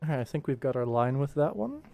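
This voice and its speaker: A man's voice: on the high side, sing-song, fast talker, creaky, no echo. A man streaming his work as an animation director, talking informally and rambling as he thinks aloud. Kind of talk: casual livestream work commentary. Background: game ambient music playing softly.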